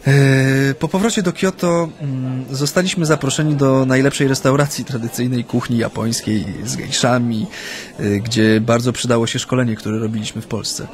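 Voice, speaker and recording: An actor in a radio play playing a former union activist who recounts his memories in a hesitant, halting way. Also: mid-range voice, steady tone, lightly acted. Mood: amused recollection. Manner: hesitant, halting, frequent drawn-out hums. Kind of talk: radio play acting, interview-like recollection.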